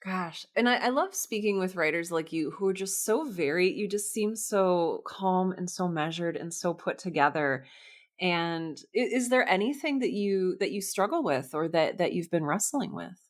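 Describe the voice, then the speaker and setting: A woman with a voice a little lower than most. She speaks as a podcast interviewer, putting questions to her guest in a casual, conversational way. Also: animated, normal speed.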